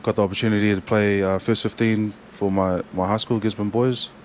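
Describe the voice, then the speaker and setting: A young man with a New Zealand accent, a rugby player chatting as a guest in a casual interview, halting and full of fillers and restarts. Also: on the low side, little pitch movement, a little mellow, slight background noise.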